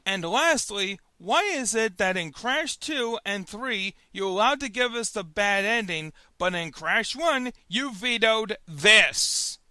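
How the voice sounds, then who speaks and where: A man's voice: high voice, lively intonation, slow, few pauses, pushed and bright, clean tone. A man hosting a web review show, giving scripted comedic commentary in an emphatic, mock-indignant manner.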